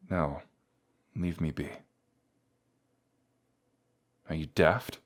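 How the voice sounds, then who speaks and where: A man's voice: sits low, full character voice. A man playing a proud, bratty wounded knight with a haughty, grumbling manner in a fantasy audio roleplay. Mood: irritated, proud. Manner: haughty, grumbling, reluctantly vulnerable. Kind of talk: scripted audio roleplay addressed to the listener.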